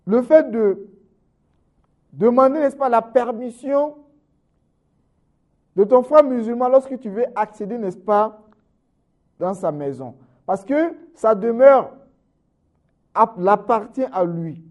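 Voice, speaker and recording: A man preaching a sermon in French, constantly adding "n'est-ce pas" and swinging between raised, emphatic phrases and low, trailing endings. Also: lively intonation, slow pace, pauses often. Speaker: Islamic preacher.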